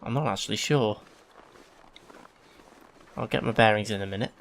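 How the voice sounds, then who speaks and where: A man's voice: lowish voice, normal speed, gravelly. Sniffly English man, casual gaming chat. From England. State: sniffly with hay fever. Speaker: gaming video host. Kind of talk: casual let's play commentary.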